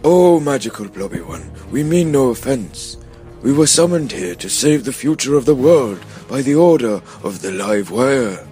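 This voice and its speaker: A man plays a solemn wizard leading a comic radio-play quest. His mid-pitched voice swoops dramatically and pauses for grand effect. Music sits behind him. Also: big pitch swings, warm tone, close to an everyday voice. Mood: solemn, reverent. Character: theatrical wizard guide, solemn, absurdly earnest.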